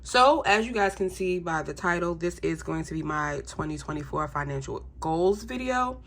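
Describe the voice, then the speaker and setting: A woman's voice: on the low side, moderate pace, a little hiss or hum. A young adult woman with a congested voice, talking casually to her viewers in a budgeting vlog with frequent ums. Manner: chatty, relaxed, frequent ums. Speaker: budgeting YouTuber.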